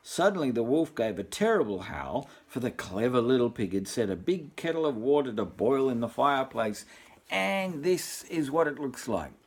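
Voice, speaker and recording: A man's voice: mid-range voice, normal speed, husky. Older Australian man reading a bedtime story. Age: 60s or older. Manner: jokey, rambling, repeats himself with deadpan asides. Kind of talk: informal storybook reading with chatty asides.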